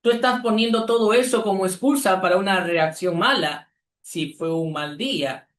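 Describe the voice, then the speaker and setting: A man from Peru, preaching an earnest sermon as pastor with deliberate pauses. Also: sits high, fairly bright tone. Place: church. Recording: echoey church hall.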